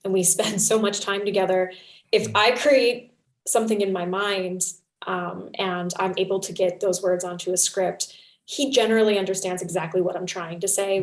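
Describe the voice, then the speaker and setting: A woman's voice: mid-range voice, normal speed. A woman speaking as a writer on a discussion panel, talking in an effusive, rambling, conversational way. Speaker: panelist, fiction podcast writer. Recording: roomy, echoing sound.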